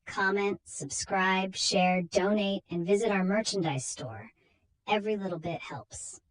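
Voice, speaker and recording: A woman's voice: medium pitch, low-quality recording. A woman hosting and narrating a horror comic story as a dramatic scripted reading. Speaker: narrator and host.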